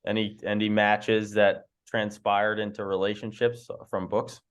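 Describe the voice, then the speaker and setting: A man's voice: lowish voice, flat delivery, measured pace, smooth. A man hosting a casual group call, introducing guests and asking questions in a laid-back way. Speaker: host.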